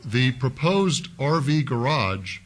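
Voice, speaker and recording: A man's voice: medium pitch, lively intonation, takes it slowly, a little hiss or hum. A man on a city council, questioning an applicant at a public hearing in a folksy, methodical way, speaking into a desk microphone. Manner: folksy, methodical, thinks aloud with fillers. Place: council chambers.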